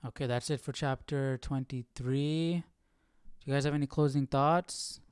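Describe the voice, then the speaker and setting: A man's voice: mid-range voice, steady tone, a little slow, smooth, no room sound, soft background music. Casual man hosting a livestream, dry close sound. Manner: thinks out loud, informal. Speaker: host of a live Bible study stream. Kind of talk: casual livestream chat with reading and commentary.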